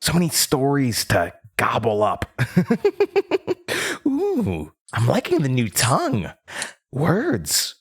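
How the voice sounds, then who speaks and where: A man's voice: mid-range voice, wildly swooping pitch, takes it slowly, long pauses, slightly rough, exaggerated voice. A man playing a gleeful, unsettling word-devouring entity in a horror audio drama, theatrical and savoring each word. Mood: gleeful, taunting. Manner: theatrical, giggling, savoring words.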